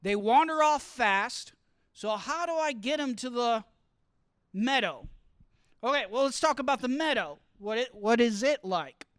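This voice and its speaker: A man giving a lively teaching talk to students, often asking the room questions as he explains. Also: extremely high pitch, a little slow, smooth, no echo, studio quality. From grew up partly in Costa Rica. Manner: asks the audience questions, explains with extended metaphor. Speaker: college speaker teaching study skills. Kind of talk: interactive classroom talk.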